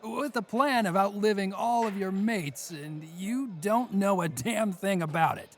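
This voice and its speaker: A man playing a world-weary, menacing prison inmate in an audio drama sketch, speaking in a deliberate, scornful, taunting way. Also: high-pitched. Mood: mocking amusement. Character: mocking, world-weary male prison bunk mate, menacing.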